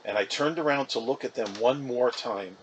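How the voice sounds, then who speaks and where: A man reading a children's novel aloud as expressive, conversational first-person narration. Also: medium pitch, moderate pace, a little hiss or hum, poor audio.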